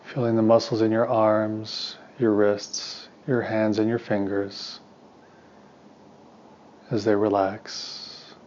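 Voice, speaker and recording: Guy doing a guided meditation, lowish flat calm voice, slow soothing with pauses, bit muffled audio. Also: a little hiss or hum. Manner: calm, unhurried, soothing instruction with long pauses. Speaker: meditation teacher and therapist.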